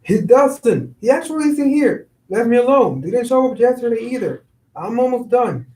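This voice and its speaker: Actor as easygoing young male laborer, high soft-toned voice, hesitant, stage reading in echoey room. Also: expressive, normal speed. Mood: evasive, casual. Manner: hesitant, casual. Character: evasive, easygoing young construction worker, American-born. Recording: roomy, echoing sound.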